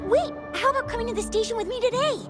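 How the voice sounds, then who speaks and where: A woman's voice: extremely high pitch, racing, loud music behind the voice. An actress playing a bubbly, friendly young female patrol officer in a video game, eager and effusive. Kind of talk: video game character acting.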